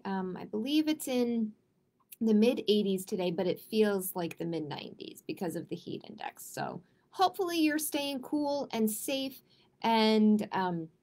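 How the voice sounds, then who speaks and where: A woman hosting a live picture-book storytime for children, speaking to viewers in simple, deliberate phrases. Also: medium pitch, darkish tone.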